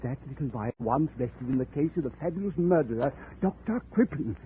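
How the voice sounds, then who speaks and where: An actor playing a small, theatrical, menacing crime collector with pompous, ornate speech in a 1940s radio drama. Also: male voice, medium pitch, animated, a little fast, lightly acted. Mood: gloating pride. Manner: ornate, pompous, precise diction. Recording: tinny, noisy 1940s radio recording.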